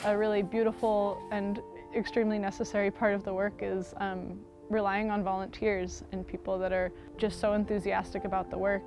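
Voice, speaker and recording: A woman's voice: medium pitch, flat delivery, brisk, loud music behind the voice. A woman, explaining things in an interview as a member of a theater.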